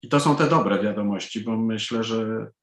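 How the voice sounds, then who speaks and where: A man from Poland, a native Polish speaker, answering as an author in a panel interview, deliberately and with pauses mid-sentence. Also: on the low side. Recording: echoey room sound.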